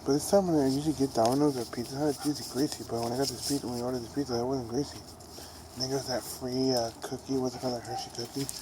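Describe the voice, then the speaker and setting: Young male vlogger with a stuffy nasal voice, monotone rambling, recorded on a phone outside with heavy noise. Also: medium pitch, rapid-fire. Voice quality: nasal, congested. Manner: rambling, with restarts and fillers. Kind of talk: casual rambling baseball vlog. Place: outdoors near buildings. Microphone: phone camera held low.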